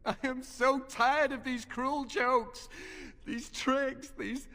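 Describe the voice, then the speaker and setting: Tormented young templar, high-pitched and echoing. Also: male voice, fairly even intonation, bright, forceful tone, heavily acted. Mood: defiant anguish. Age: young adult. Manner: anguished, halting, emphatic. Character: tormented, exhausted young male templar prisoner, desperate and defiant. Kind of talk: fantasy video game voice acting. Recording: echoing reverb, game music behind.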